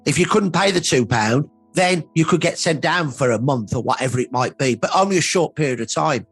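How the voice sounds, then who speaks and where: A man's voice: a little high, expressive, a little fast, slightly rough, no echo. A man giving an emphatic, earnest expert account of history in a documentary interview. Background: background music.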